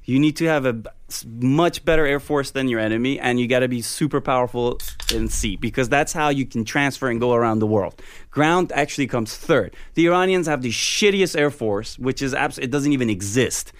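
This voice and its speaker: Blunt guy talking politics casually, swears a lot, smooth clear voice, really dry sound. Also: medium pitch, a little fast, no room sound. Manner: blunt, heavily profane, colloquial. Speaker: political commentator guest.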